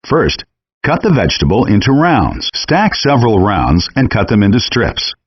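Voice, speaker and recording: A man reading scripted cooking-game instructions in a measured, clearly enunciated, explanatory way, in dull compressed audio. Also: fairly low pitch, huge pitch swings, no room sound.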